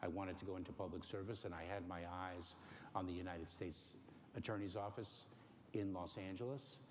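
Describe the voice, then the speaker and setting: A middle-aged man born in Cuba, giving careful, halting interview answers with many pauses and fillers. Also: deep voice, steady tone. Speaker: interview guest. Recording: faint noise in a long-reverb room.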